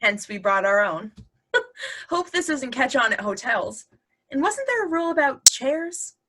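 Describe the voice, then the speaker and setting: A woman's voice: high-pitched, very animated, measured pace, bright, forceful tone, full character voice. A woman playing a snarky, teasing wife in a conversational comedy play reading, full of sarcasm. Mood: sarcastic. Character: snarky, joking wife of the anxious son.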